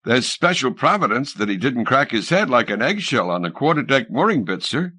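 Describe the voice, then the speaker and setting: Man as a deferential ship's steward, bright and lively. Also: mid-range voice, fairly quick, slightly rough, voice pushed up, full character voice. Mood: earnest. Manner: respectful, matter-of-fact answering. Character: deferential, plain-spoken male ship's steward.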